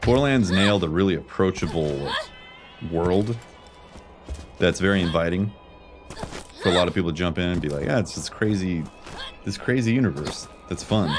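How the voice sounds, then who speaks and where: Deep-voiced man chatting on a game stream. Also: expressive, normal speed, long pauses. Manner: informal, slangy, conversational musing. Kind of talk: casual stream chat and game commentary. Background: video game music.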